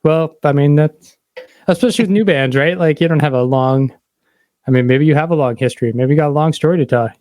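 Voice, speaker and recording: A man's voice: fairly high pitch, quick pace, a little mellow, dry recording, studio quality. A man hosting a podcast interview in a casual, conversational style. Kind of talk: casual chat.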